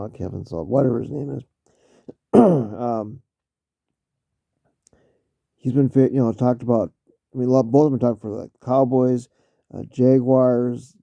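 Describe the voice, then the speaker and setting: A man giving casual spoken commentary on football, halting with many fillers and restarts, clearing his throat often as he loses his voice. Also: mid-range voice, dark tone, dry recording, lo-fi sound. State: losing his voice. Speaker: vlogger talking football.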